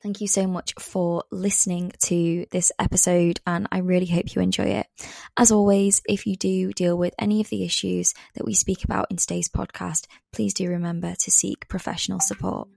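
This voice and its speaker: A woman's voice: on the low side, flat delivery, moderate pace, vocal fry, darkish tone, no room sound, clean studio sound. A woman hosting a podcast, reading a scripted introduction and then chatting in a friendly way with her guest. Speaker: podcast host. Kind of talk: read intro then casual interview.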